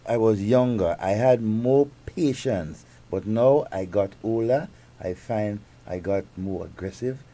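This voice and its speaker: A man's voice: lowish voice, measured pace, dark tone. A man co-hosting a talk show, joking and reading out viewer questions. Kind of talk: casual talk show chat.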